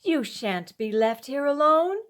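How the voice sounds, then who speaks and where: A woman's voice: high-pitched, lively intonation, a little slow, pitched above the performer's own voice. A woman voicing a kind-hearted but resolute working man, a rural wheelwright, earnestly in a storybook. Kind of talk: storybook character voice.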